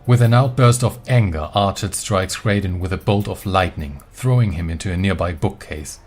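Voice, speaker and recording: Male narrator, very low voice, scripted dramatic fantasy storytelling over prominent music. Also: moderate pace.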